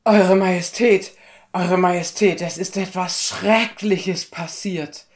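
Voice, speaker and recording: A woman voicing a panicked royal servant in a children's fairy tale, loud, distressed and pleading. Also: fairly low pitch, fairly even intonation, slow, pitched above the performer's own voice. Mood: panicked, distraught. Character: panicked royal servant reporting a disaster to the king.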